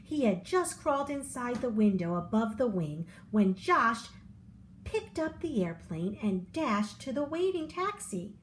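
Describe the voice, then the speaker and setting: A woman reading a children's picture book aloud, with an expressive storyteller delivery. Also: a little high, unhurried, a little hiss or hum, rough recording.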